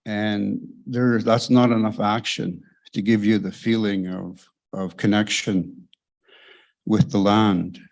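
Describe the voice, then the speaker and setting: Older man, native cree speaker, slow and measured, low voice, podium mic on a stage. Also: flat delivery, vocal fry, darkish tone. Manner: slow, measured, pausing often. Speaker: elder giving an opening prayer. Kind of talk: slow spoken prayer and reflection. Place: large lecture hall stage. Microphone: podium microphone.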